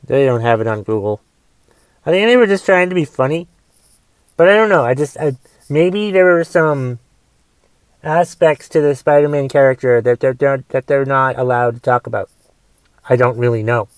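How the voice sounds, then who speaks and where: A man in his forties with a raspy voice from a cough, casually rambling through a movie review on his podcast and thinking aloud with lots of fillers. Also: medium pitch, normal speed, long pauses, no echo. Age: 40s. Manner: thinks aloud, musing questions, trailing fillers. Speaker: podcast host.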